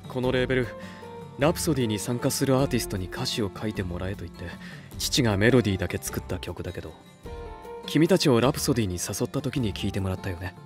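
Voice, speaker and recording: A male actor playing an earnest, soft-spoken young record label head in an anime voice drama, speaking in a measured way. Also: on the low side, expressive, music in the background, close to an everyday voice.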